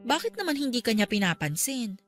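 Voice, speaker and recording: A woman's voice: fairly high pitch, sing-song, brisk. A Filipino actress in a radio drama playing a caring, exasperated young girlfriend, nagging yet tender. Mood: concerned. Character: caring, exasperated young girlfriend looking after her man. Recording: music behind.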